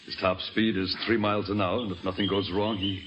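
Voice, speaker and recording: An actor in an old radio drama playing a grave, watchful senior officer of the Revolutionary War. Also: male voice, sits low, level tone, a little fast, highs cut off, close to an everyday voice. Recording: noisy old radio recording.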